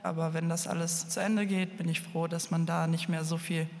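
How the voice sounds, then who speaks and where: A young woman in her twenties chats casually and relaxed. Her voice sits a little low. It is wrapped in a long reverb. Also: normal speed. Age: 20s. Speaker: program participant. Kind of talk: casual personal statement.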